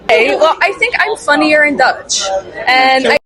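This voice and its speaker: Young woman chatting in a street interview, kinda high voice, lots of crowd chatter around. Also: animated, moderate pace, rough recording. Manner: chatty, laughing, self-correcting. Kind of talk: casual street interview answer. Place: outdoors on a busy city street. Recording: crowd noise outdoors.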